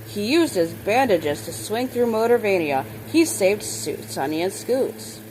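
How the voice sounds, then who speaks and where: A woman reading a children's book aloud, expressive on characters' lines and sometimes stumbling and correcting herself. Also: mid-range voice, slight background noise, low-quality recording. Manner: halting reader, stumbles and corrects words. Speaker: storybook reader.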